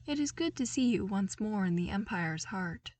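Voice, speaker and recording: A woman voicing a formal guard officer in a storybook narration, speaking in a measured, ceremonious way. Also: mid-range voice, expressive, darkish tone, distant mic, close to an everyday voice.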